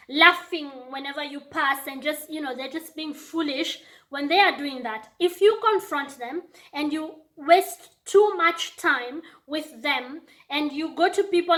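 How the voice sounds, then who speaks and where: A woman's voice: sits high, fairly bright tone. A young woman from Kenya with a Kenyan accent, talking straight to camera and giving emphatic advice in a vlog, often repeating lines for weight. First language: not English. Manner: emphatic, repeats lines for effect, acts out quoted people. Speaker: YouTuber.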